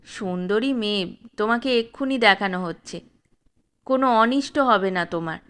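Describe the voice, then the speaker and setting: A woman's voice: medium pitch, moderate pace, a bit bright, pitched below the performer's own voice, natural voice. A woman voicing a harsh, commanding old male dacoit chief in a spoken storybook. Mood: insistent. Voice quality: harsh, gruff. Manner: commanding, threatening.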